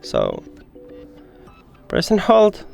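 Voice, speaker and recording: Higher-pitched man giving a tech tutorial over music. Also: huge pitch swings, very slow, vocal fry, a little mellow. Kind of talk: step-by-step how-to narration.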